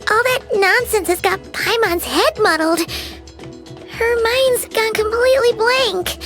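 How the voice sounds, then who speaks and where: A woman voicing a tiny, excitable, blunt childlike fairy companion in a video game, with a squeaky delivery. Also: measured pace, heavily acted. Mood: flustered. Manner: squeaky, speaks of herself in third person. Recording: music behind.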